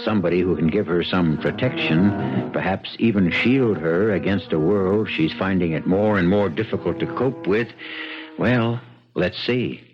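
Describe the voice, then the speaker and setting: An American man playing a wry mystery show host, narrating a 1970s radio drama in a deliberate, theatrical, philosophical manner. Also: sits low, close to an everyday voice. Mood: wry, musing. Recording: dull vintage radio broadcast. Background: music.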